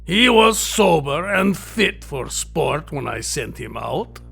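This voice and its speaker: An actor playing a genteel, sadistic villain hunter in an audio drama, speaking with courtly, condescending politeness and a gravelly creak. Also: male voice, a little high, very animated, slow pace, fairly bright tone, exaggerated voice. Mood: suavely menacing. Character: genteel, sinister male villain, sadistic aristocratic big-game hunter.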